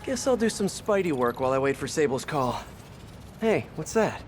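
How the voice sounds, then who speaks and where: A man's voice: fairly high pitch, big pitch swings, moderate pace, a little hiss or hum. An actor playing a young, quippy superhero investigator with an American accent, earnest and wry as he thinks aloud in a video game. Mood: curious. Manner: conversational, wry, muses to himself. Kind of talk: video game voice acting, monologue.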